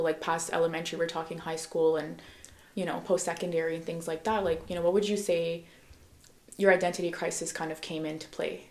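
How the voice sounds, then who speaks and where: A young woman hosting a podcast, her voice slightly low and gravelly. She talks loosely, packing her sentences with "like" and "you know." Her voice carries room echo. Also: darkish tone. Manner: filler-heavy, "like, you know" throughout. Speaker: podcast host. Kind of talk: casual podcast chat.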